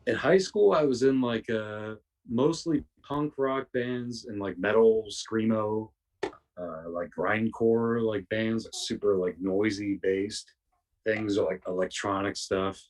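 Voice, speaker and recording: Podcast guest: man, raspy and very low, slangy and self-mocking, sounding distant from the mic. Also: slow pace, a little mellow. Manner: self-deprecating, frequent slang and swearing. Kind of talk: casual interview chat.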